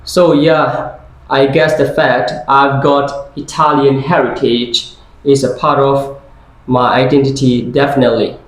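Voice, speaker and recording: Slow-spoken Brazilian man in a very echoey room. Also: mid-range voice, little pitch movement. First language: Portuguese. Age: 20s. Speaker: interviewee. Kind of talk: scripted interview role-play.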